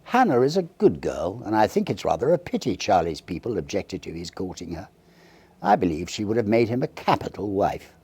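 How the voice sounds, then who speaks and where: A man's voice: fairly low pitch, big pitch swings, brisk, a little mellow, slightly rough, dry recording, heavily acted. A man voicing a kindly, opinionated country rector chatting about his parishioners in a storybook reading. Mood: kindly, regretful. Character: kindly, gossiping country rector, mildly disapproving.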